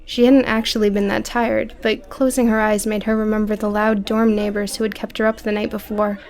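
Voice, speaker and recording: A woman's voice: mid-range voice, little pitch movement, fairly quick, a little mellow. A woman narrating an audiobook story in a scripted, dramatic storytelling style.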